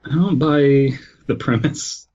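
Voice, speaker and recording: A man's voice: medium pitch, takes it slowly, warm tone, dull audio. A man co-hosting a podcast, reading a story aloud and commenting casually. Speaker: podcast co-host.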